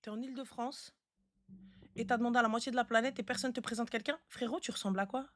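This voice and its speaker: Very high-pitched, blunt, insistent woman. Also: steady tone, fast talker. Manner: blunt, insistent, repeats words for emphasis. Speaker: live stream host giving relationship advice. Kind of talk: casual live advice chat.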